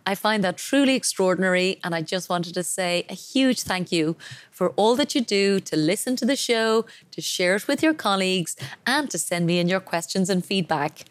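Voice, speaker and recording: A woman's voice: mid-range voice, expressive, moderate pace, dry recording. A woman hosting a podcast, reading a polished, enthusiastic intro and then interviewing her guest. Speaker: podcast host.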